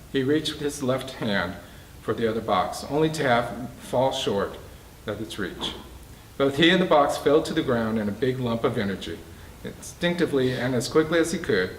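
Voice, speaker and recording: A man reading his own prose fiction aloud to a live event, halting and emphatic, stumbling over words now and then. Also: mid-range voice. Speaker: author reading from own book. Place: bookstore reading event, indoors. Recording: roomy, distant mic, faint background noise.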